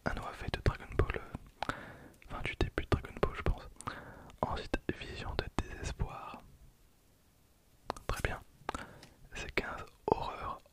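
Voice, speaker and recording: A person in their twenties whispering casual ASMR commentary close to the microphone, with a breathy, unvoiced delivery. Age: 20s. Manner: hushed, casual asides. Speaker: ASMR video creator. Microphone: close handheld-recorder microphone.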